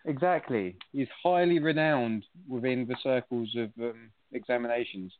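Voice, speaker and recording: A man joins by call and needles the others with a teasing, mock-pompous air. His voice sits at an ordinary pitch. The audio is thin and tinny, like a phone line. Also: normal speed, slight background noise. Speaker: guest on call. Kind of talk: joking banter on a call.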